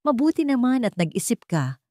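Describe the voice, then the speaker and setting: A woman's voice: fairly high pitch, very animated, a little fast, a little mellow, no room sound, clean studio sound, voice pushed up. A woman voicing a cold, greedy, deceitful male villain and former lover, smug and mocking, in an audio love story.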